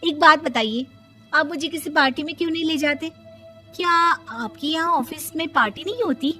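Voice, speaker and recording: A woman voicing a carefree, devout young daughter-in-law in an animated moral story, sassy and unbothered by criticism. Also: high voice, normal speed, soft background music, pitched above the performer's own voice. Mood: pleading. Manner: bright, sassy, brushing off criticism.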